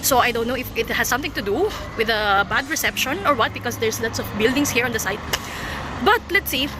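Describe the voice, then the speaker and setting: A woman's voice: fairly high pitch, animated, fairly quick, background noise. A woman with a Filipino accent, a native Tagalog speaker, giving casual vlog commentary. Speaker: vlogger reviewing a product.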